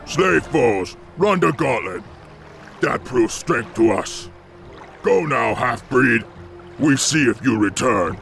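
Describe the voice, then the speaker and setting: A brutish, proud ogre from a fantasy game. He drags out blunt, broken sentences in a rough, gravel-choked voice at a slow pace. Music plays behind him. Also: medium pitch, lively intonation, fairly bright tone, heavily acted. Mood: gruff, challenging. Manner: broken, blunt, primitive grammar. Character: brutish, dim, proud male ogre clan warrior. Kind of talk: video game cutscene acting.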